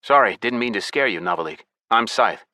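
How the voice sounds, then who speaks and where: A male narrator plays a serious young hero in a fantasy audiobook. He gives instructions firmly and earnestly, in a bright, forceful voice recorded close and dry. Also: on the low side, expressive, a little fast, no echo, pitched above the performer's own voice. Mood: polite.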